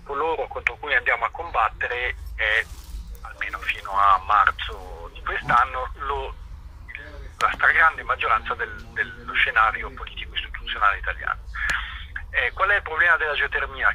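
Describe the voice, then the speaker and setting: A man being interviewed as an activist, explaining informally and haltingly, searching for his words. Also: medium pitch, sharp tone, a little hiss or hum, rough recording.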